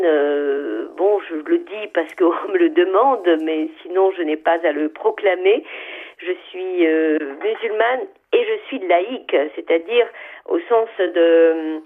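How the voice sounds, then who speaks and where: Older woman, thoughtful, on a tinny line. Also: fairly low pitch. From Algeria. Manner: thoughtful, hesitant with many fillers, lists ideas in a row. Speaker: writer, interview guest. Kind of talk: radio interview, reflective answers. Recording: phone-line sound, narrow band.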